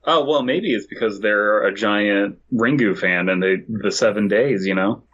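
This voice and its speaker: Husky low-voiced man bantering casually. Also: moderate pace, a bit bright, highs cut off. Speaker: comedy podcast co-host. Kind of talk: casual joking banter while reading fan fiction aloud.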